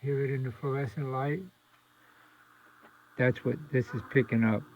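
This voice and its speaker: A man giving a casual, halting, matter-of-fact hands-on demonstration as a radio repairer. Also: mid-range voice, fairly even intonation. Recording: muffled, very noisy, radio static and hum. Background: antique radio static and interference.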